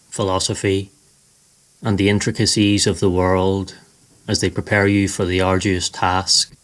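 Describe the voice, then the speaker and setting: A man narrating a scripted guided meditation in a slow, soothing, measured way. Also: sits low.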